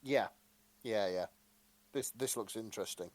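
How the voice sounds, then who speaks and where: A man's voice: fairly low pitch, measured pace, no echo. A British man co-hosting a podcast, chatting casually in an informal, sweary manner. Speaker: podcast co-host. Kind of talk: casual chat.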